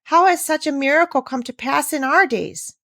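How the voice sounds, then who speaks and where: A woman's voice: sits high, moderate pace, bright, forceful tone, dry recording, studio quality, voice pushed up. A woman voicing a long-suffering male schoolteacher in a children's storybook, formal, wordy and pompous, full of disbelief. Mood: amazed. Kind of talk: children's storybook read aloud.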